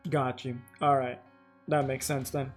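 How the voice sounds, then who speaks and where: A man's voice: mid-range voice, little pitch movement, a little slow, soft background music. A man chatting as a stream guest, laid-back and hesitant, often stammering and restarting. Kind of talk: casual stream chat.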